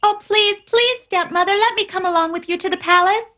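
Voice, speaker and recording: A woman playing a sweet, meek young servant girl in a fairy-tale cartoon, gentle and earnest. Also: very high voice, fairly quick. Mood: pleading. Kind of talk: animated fairy-tale dub acting.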